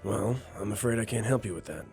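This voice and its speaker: Stern older crime-drama patriarch acted by a man, low, gravelly, measured, imperious, music underneath. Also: a little mellow, close to an everyday voice. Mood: guarded. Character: stern older male nightclub-owning boss, imperious father. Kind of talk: noir radio drama dialogue.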